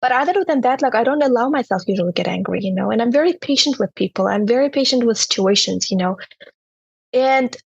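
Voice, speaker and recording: A young woman from Turkmenistan with a Turkmen accent, telling her personal story as an interview guest in a halting way, with many "you know" fillers. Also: a little high, animated, creaky, husky, no echo, dull audio.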